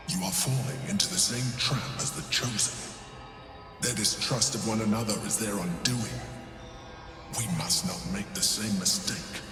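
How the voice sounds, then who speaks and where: An actor playing a grave, calculating male guardian in a video game, speaking in a measured, stern and formal way. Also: lowish voice, lightly acted. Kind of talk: video game drama acting. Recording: echoing, long reverb.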